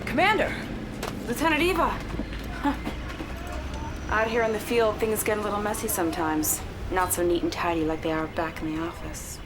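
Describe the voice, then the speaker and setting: A woman's voice: medium pitch, normal speed, natural voice. An actress playing a cocky, tough female commando with a casual, swaggering delivery, in a game cutscene. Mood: breezy, teasing. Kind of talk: video game cutscene acting. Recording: clear background noise, vehicle ambience.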